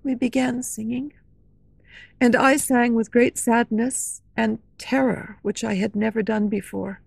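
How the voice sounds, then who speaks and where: A frightened young woman recounts her ordeal earnestly in a slow, slightly high, gravelly voice, as a reader takes her part. The storybook recording is close and dry. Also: fairly even intonation, no room sound, heavily acted. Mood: recounting. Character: young female singer, frightened, recounting a horror.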